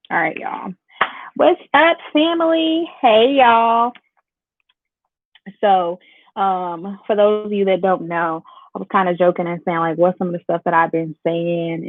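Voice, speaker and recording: A woman's voice: lowish voice, no room sound. A young woman from Chicago with a bit of Southern twang, chatting playfully with her viewers during a makeup livestream. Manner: chatty, playful, lively, talks to the chat. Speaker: beauty vlogger. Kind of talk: casual makeup tutorial livestream. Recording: dry phone-like audio.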